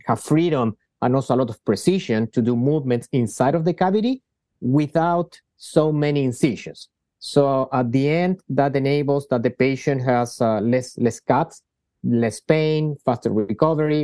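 A man from Chile speaks, answering interview questions as a surgeon and founder. His voice is mellow and smooth. He often stumbles, restarting and repeating words, and the sound is dry and close. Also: medium pitch, no room sound. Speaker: guest surgeon and company founder.

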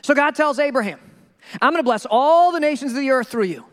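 Guy preaching with a pretty high voice, animated and joking around, acts out what people say to him. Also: a little fast, in a large hall. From Minnesota. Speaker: pastor. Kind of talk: sermon.

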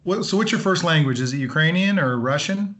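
A man hosting a podcast, asking his guest questions in a friendly, halting way. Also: a little high, expressive, fairly bright tone. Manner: friendly, halting, many ums and restarts. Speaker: podcast host. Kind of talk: casual interview.

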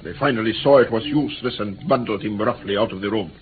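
A man's voice: fairly low pitch, fast talker, lightly acted. An actor playing a shaken, earnest male interpreter who tells his ordeal hesitantly in a vintage radio drama. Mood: earnest recounting. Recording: tinny, noisy vintage radio recording.

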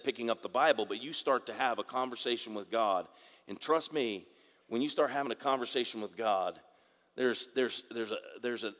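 A man preaches to his congregation, his voice a little raspy from the dry air. He talks in a halting way, doubling up words and asking for an amen. His voice carries a long reverb, as if recorded in a large church hall. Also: medium pitch, fairly bright tone. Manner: halting, repeats words, asks congregation for amens. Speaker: pastor. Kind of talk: sermon. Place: church sanctuary.

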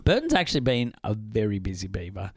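A man co-hosting a casual film podcast, his voice husky from illness, chatty and enthusiastic. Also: on the low side, huge pitch swings, fast, no echo, clean studio sound. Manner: chatty, enthusiastic, laughs often. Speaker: podcast co-host.

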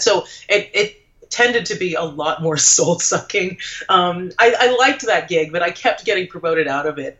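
Bright-voiced woman from Trinidad, chatty. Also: sits low. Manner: chatty and reflective, thinks aloud. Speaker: interview guest, lawyer turned blogger. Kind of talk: casual conversational interview. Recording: dull lo-fi audio.

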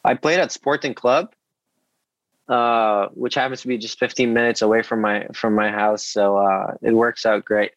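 A man's voice: on the low side, steady tone, moderate pace, no room sound. A man in his twenties from Egypt, a podcast guest answering questions in a friendly way, often laughing and repeating words. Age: 20s. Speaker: guest, professional athlete. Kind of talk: casual interview answers. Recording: dry, dull remote audio.

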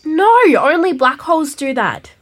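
A woman's voice: high voice, lively intonation, normal speed, fairly bright tone. An actress playing an exasperated schoolgirl granddaughter in a comic audio drama. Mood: weary. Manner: exasperated, sighing.

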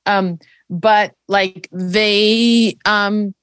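A woman's voice: mid-range voice, very slow, clear, resonant tone, dry recording. A woman, a guest, explaining her views in a reflective conversation, articulate but full of fillers like "um" and "like.". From child of Hungarian immigrants. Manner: articulate, many fillers, animated.

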